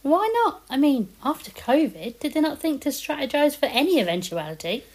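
Woman playing an exasperated wife in a zombie audio comedy, highish voice, up and down a lot. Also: big pitch swings, brisk, darkish tone. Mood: incredulous. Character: incredulous, argumentative wife in a zombie apocalypse comedy. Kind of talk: audio comedy drama acting.

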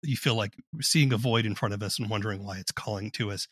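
A man's voice: lowish voice, quick pace, a bit bright, husky, no echo, clean studio sound. A man hosting a conversational interview, asking questions and commenting as he goes. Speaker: podcast host, interviewer.

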